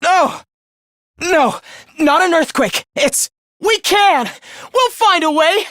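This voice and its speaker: A man's voice: a little high, big pitch swings, moderate pace, dry recording, studio quality. A man playing a young student in a video game, earnest on the surface and a cold, misanthropic killer beneath, theatrical and articulate. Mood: alarmed, urgent. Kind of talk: dramatic anime-style video game voice acting.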